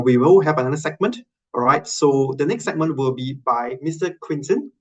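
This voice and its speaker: A man's voice: mid-range voice, low-quality recording. A man moderating a webinar, thanking speakers and introducing the next guest. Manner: brisk, friendly introductions. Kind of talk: hosting a webinar.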